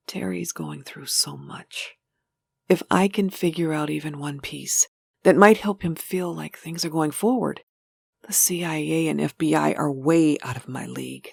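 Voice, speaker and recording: Woman acting a low, husky, determined wife. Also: a little mellow, dry recording, full character voice. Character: determined, caring wife doing some investigating. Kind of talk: dramatic comic dub acting.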